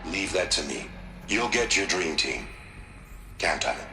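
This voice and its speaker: A man's voice: deep voice, very animated, a little slow. A man with an American accent playing a resolute, commanding middle-aged military chief in a game, firm and authoritative. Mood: assured. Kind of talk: video game cutscene voice acting. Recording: roomy echo, music behind.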